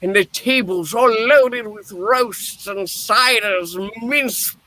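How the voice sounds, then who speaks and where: A performer reading the part of a frightened, wistful old miser in a theatrical play reading, with halting, dramatic delivery. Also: medium pitch, pushed and bright. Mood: nostalgic delight.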